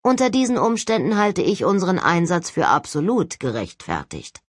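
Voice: mid-range voice, big pitch swings, normal speed, no echo, clean studio sound. An audiobook reader voicing a cocky, unflappable young male agent with a breezy, mock-polite manner. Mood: mock-polite, defiant. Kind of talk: audiobook character acting.

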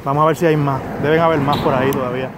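A young man, filming himself fishing by a pond and commenting casually, muttering to himself with sudden excited bursts. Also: mid-range voice, racing. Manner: excitable bursts when a fish strikes, otherwise quiet muttering. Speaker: angler filming his fishing. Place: outdoors by a small pond among trees. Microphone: head or chest-mounted action camera. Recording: outdoor camera audio with faint background noise.

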